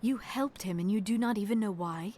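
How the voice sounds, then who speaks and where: A woman voicing a calm alien squadmate from a video game. She speaks in a measured, formal way at a medium pitch, with clear noise behind her. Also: lightly acted. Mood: reproachful.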